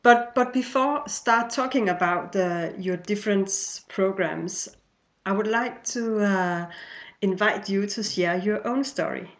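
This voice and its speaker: A woman hosts a gentle, guided interview at an unhurried pace. She has a mid-range voice and feels her way through phrases, often repeating a word before going on. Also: mid-range voice. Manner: halting, repeats words while phrasing questions. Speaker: interviewer, host.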